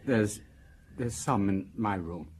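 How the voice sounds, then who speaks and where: A nervous, lovelorn male secretary with a dark, muted tone. He falters as he speaks, badly shaken, in radio drama style. Faint ambient noise lies behind him. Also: on the low side, slow.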